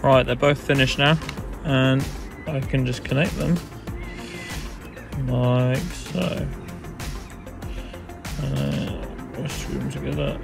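A young man casually narrating electrical work on his small sailboat outdoors, relaxed and rambling with ums and self-corrections, recorded on an action camera. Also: mid-range voice, takes it slowly, gravelly, long pauses, loud music behind the voice. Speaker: sailing vlogger. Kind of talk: casual how-to narration while working.

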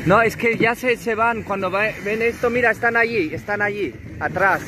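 Man, high voice, agitated sailor talk, outdoors on a boat, noisy phone video. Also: moderate pace. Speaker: sailor on a yacht. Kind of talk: agitated chat and shouting during an incident at sea. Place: outdoors on a sailing boat at night. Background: sea and boat noise.

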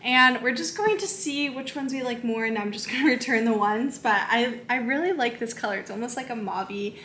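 Bubbly young woman, bright echoey kitchen. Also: fairly high pitch, a little fast, slight background noise. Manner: bubbly, chatty, many "like" fillers. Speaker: lifestyle vlogger. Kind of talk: casual shopping haul chat. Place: home kitchen, indoors. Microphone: camera in front, medium close framing.

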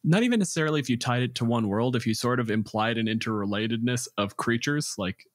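A man's voice: medium pitch, quick pace, no room sound, studio quality. A man hosting a podcast, with scripted introductions and casual gaming chat. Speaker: podcast host.

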